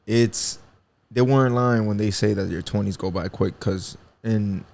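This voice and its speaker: Gravelly young man rambling casually. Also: fairly low pitch, unhurried, a little mellow. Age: 20s. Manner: rambling, reflective, swears freely, many fillers. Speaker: podcast host. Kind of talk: casual solo podcast talk.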